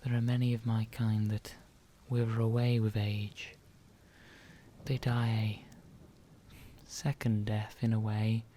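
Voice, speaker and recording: A man playing an ancient, condescending vampire in an ASMR roleplay, speaking softly and deliberately, often slipping into a whisper, with calm menace. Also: on the low side, slight background noise. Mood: quietly ominous. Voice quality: soft, breathy, often dropping into whisper. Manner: slow, deliberate, pausing, formal.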